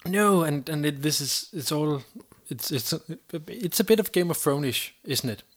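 A man co-hosting a casual podcast discussion, halting and repeating words as he thinks. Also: a little high, expressive, slightly rough. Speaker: podcast co-host.

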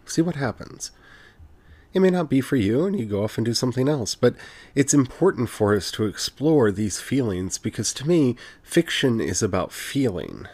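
A podcast host with a very deep voice, speaking earnestly and from the heart in a reflective solo monologue, often pausing on "you know". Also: darkish tone, dry recording.

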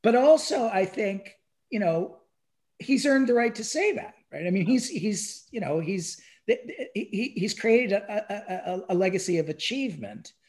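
Podcast discussion voice: mid-pitched, halting and conversational, repeats words, tags phrases with "right?". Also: animated, darkish tone. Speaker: podcast speaker. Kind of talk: casual discussion of music history.

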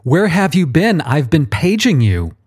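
A man's voice: on the high side, big pitch swings, measured pace, darkish tone, slightly rough, pitched above the performer's own voice, full character voice. A man reading an audiobook, voicing an impatient, condescending male archangel who orders his underling about. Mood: imperious. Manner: condescending, impatient, self-important. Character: pompous, imperious male archangel boss. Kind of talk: audiobook character voice.

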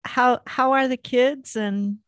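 A woman's voice: high voice, steady tone, slow pace, a bit bright, no room sound. A woman hosting a casual local interview, asking questions and responding conversationally. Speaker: interviewer, host.

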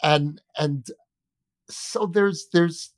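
A middle-aged American man speaking reflectively as an interview guest, making his case deliberately and emphatically, repeating words and pausing for weight. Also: fairly high pitch, no room sound, studio quality. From United States. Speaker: interview guest, journalist and author. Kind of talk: reflective conversational argument in an interview.

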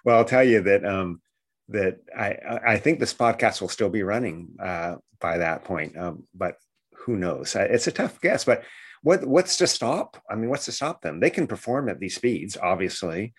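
A man's voice: lowish voice, animated, moderate pace, slightly rough. A man co-hosting a podcast, talking casually and enthusiastically with many fillers and restarts. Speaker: podcast co-host.

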